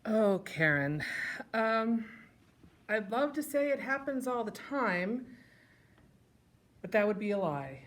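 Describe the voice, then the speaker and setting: A woman's voice: mid-range voice, sing-song, takes it slowly, long pauses. A woman reading letters aloud as a performer, in a dramatic, comic stage style.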